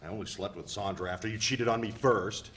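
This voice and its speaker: A man in a dramatic play reading, playing a hurt, defensive partner who speaks in a guarded, deliberate way. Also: fairly low pitch, fairly even intonation, fairly bright tone, close to an everyday voice. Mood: accusing, defensive.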